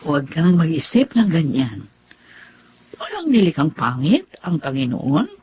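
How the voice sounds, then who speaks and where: An actress in a Filipino radio drama playing a gentle, motherly woman who talks in a soothing, expressive way. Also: deep voice, a little slow, muffled recording, slight background noise. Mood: gentle, reassuring.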